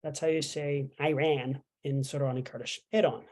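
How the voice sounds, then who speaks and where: Easygoing teacher talking through a lesson, mid pitch, loose and chatty. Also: fairly even intonation, moderate pace, a little mellow. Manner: chatty, explains with fillers and playful asides. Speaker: language instructor. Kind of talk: casual online language lesson.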